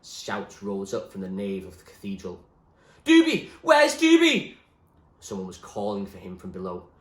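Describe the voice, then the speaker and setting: A man reading a novel aloud as expressive storybook narration, like a teacher reading to a class. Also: sits low, a little fast, roomy sound.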